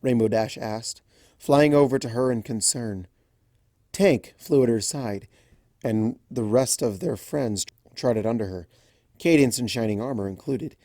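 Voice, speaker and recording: A narrator reading a story aloud in an expressive, scripted storytelling style. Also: mid-range voice, a little slow, no room sound, studio quality.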